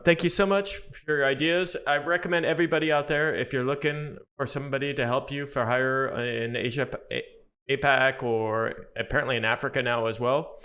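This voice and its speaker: A man hosting an interview, asking brisk questions and chatting in an upbeat, friendly way. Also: medium pitch, fairly bright tone. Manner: upbeat, quick rapport-building questions. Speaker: podcast host.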